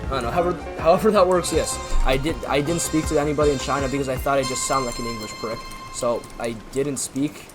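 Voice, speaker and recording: A man's voice: medium pitch, steady tone, fast talker. Rambling young man, music behind. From Canada. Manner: rambling, filler-heavy, self-deprecating. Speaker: YouTuber. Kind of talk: casual chat over gameplay. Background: background music.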